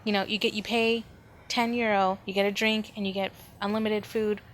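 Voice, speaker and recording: A woman's voice: mid-range voice, little pitch movement, normal speed. A woman hosting a solo podcast, talking casually and informally, rambling as she thinks aloud. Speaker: podcast host. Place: indoors at home, near street noise. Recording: background noise, ambient room sound.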